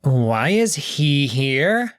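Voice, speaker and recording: A man's voice: on the high side, sing-song, drawn-out words, clean tone, no echo, clean studio sound, full character voice. A man voicing a proud young male wolf emperor who is defensive and commanding. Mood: wary. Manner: indignant, commanding outbursts.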